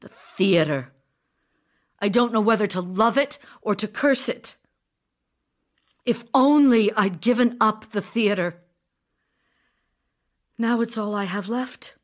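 An actress playing a grieving, longing widow in an audio drama, reading intimate letters in a tender, wistful, expressive way. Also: female voice, medium pitch, lightly acted. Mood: anguished, resigned. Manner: tender, wistful, emotionally expressive, pausing delivery.

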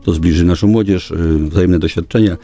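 A middle-aged man with a very deep, dark voice gives formal answers in a small radio studio. He pauses often and stretches out his hesitations. Faint music plays behind him. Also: fairly even intonation. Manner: halting, formal official speech with frequent hesitations. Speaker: local government official, guest. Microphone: studio boom microphone.

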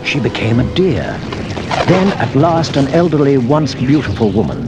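A man's voice: medium pitch, big pitch swings, moderate pace, very noisy. A man reading scripted storybook narration, with film score music and sound effects behind him. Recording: loud orchestral music under the voice.

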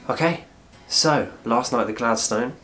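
A man's voice: medium pitch, moderate pace, fairly bright tone. A man in his twenties talking casually to camera as a vlogger, in a small room. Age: 20s. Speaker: vlogger and musician. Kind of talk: casual vlog talk.

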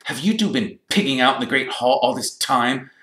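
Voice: medium pitch, lively intonation, normal speed, a bit bright, reverberant, far from the mic, voice pushed up, natural voice. A man voicing an arrogant schoolboy bully who drawls and sneers, in a storybook reading. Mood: sneering, scornful.

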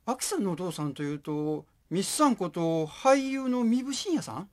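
A woman's voice: on the low side, very animated, normal speed. A woman acting in a radio drama as a friendly, chatty saxophone player out shopping. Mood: friendly, curious.

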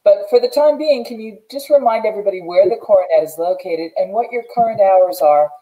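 A woman's voice: mid-range voice, a little fast, phone-quality sound. A middle-aged American woman hosting an interview over a video call in an earnest, emphatic way. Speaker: show host. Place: indoors, plain room.

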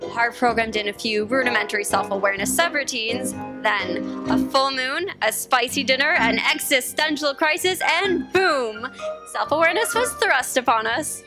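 A woman playing a wisecracking self-aware spaceship machine, dramatic and teasing in a staged sci-fi comedy drama. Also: high-pitched. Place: theatre stage, live performance. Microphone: stand microphone.

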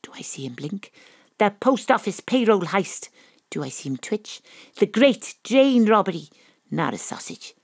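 A woman's voice: medium pitch, very animated, a little slow, slightly rough, no echo, studio quality, heavily acted. A woman playing a determined, wry Welsh private detective, acting and narrating in a noir audio drama. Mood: cool, pressing.

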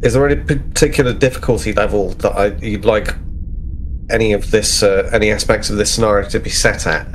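A man improvising casually as a player in a tabletop roleplaying game, halting and thinking aloud. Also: on the low side, fairly even intonation, a little hiss or hum, rough recording.

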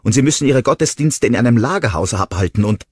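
Ein Mann spricht eine junge Frau, verletzt und wütend, mit schrillen, flehenden Ausbrüchen. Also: male voice, very animated, moderate pace, husky, no echo, heavily acted. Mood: upset. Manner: shrill, pleading outbursts. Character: young woman, hurt and furious.

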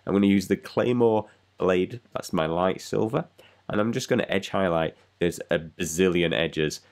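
A man's voice: deep voice, moderate pace. A man with a cold, rambling casually as he talks through painting a miniature. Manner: rambling, self-deprecating, chatty. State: congested with a cold. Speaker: miniature painting channel host. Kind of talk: casual painting tutorial voice-over.

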